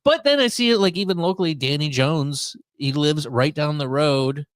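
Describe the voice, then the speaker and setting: A man's voice: a little high, normal speed, no room sound, clean studio sound. A middle-aged American man chatting casually as a podcast host. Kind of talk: casual chat.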